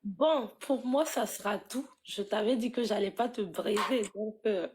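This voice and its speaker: Woman co-host, slow delivery, friendly teasing between questions. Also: medium pitch, darkish tone. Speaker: interviewer. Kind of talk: interview questions.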